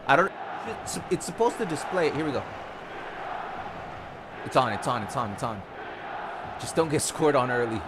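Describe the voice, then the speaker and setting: A man's voice: mid-range voice, expressive, normal speed, pauses often, a crowd in the background, background noise. A young man from Costa Rica, a gaming streamer giving casual live commentary and tips while he plays. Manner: casual and chatty, coaching the viewers. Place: home-style room, not his own home.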